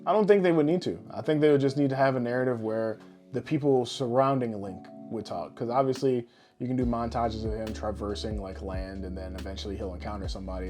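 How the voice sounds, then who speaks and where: A man's voice: on the low side, fast, over music. A man co-hosting a podcast, chatting casually and informally, often explaining things through examples. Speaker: podcast co-host.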